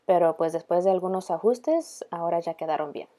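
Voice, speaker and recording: Low, mellow woman narrating DIY. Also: a little fast. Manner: casual, mixes in English words. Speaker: DIY home vlog host. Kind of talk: casual narration of a DIY makeover.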